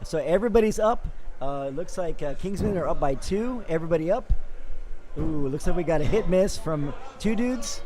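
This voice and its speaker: A raspy, hoarse man calls the action live with a high but mellow voice. He keeps up an upbeat, steady play-by-play, full of quick fillers and updates. His tone stays warm and dark despite the wear on his throat. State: hoarse from talking for days. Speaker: play-by-play commentator. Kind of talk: live sports commentary.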